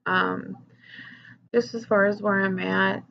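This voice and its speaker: A woman's voice: medium pitch, little pitch movement, slow pace, gravelly, muffled recording, a little hiss or hum. A young woman talking candidly in a personal vlog, rambling with lots of fillers and swearing, her voice nasal and stuffed up from a cold. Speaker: vlogger.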